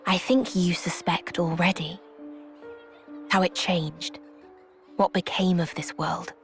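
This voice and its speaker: An actress playing a solemn, knowing woman who speaks in a measured, grave, portentous way in a video game drama. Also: on the low side, steady tone, creaky, faint music behind. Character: solemn, knowing woman revealing dark truths.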